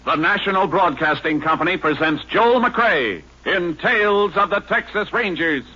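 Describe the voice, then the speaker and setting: A man's voice: sits high, animated, normal speed, very noisy. A man with an American accent announcing a radio drama in 1950s style. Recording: muffled old radio broadcast, loud music.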